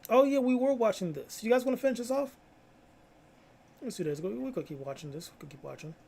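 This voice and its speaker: A young man hosts a casual livestream in a slightly high voice with some fry. He talks in slang and circles back over his phrases. Faint noise sits behind him. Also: expressive, fast talker, darkish tone. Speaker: live streamer reacting to videos.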